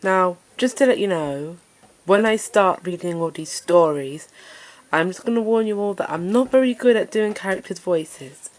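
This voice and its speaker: A woman with a deep voice introducing her story reading channel in a nervous, hesitant way, full of fillers. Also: moderate pace, poor audio. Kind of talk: casual self-introduction to camera.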